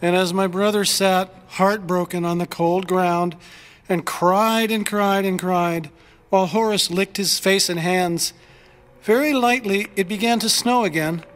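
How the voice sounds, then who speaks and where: An actor voicing a wistful storyteller who recalls childhood in measured, lyrical phrases for an audio drama. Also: mid-range voice, fairly even intonation, natural voice. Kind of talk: scripted dramatic narration. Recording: long hall reverb, music behind.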